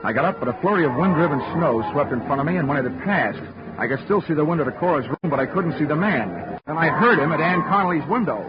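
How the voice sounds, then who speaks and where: A man's voice: fairly high pitch, expressive, fast talker, close to an everyday voice. An actor playing a hard-boiled insurance investigator in a 1940s radio drama, narrating his case with dry wisecracks. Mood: wry. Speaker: narrator and lead. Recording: lo-fi 1940s radio broadcast with music.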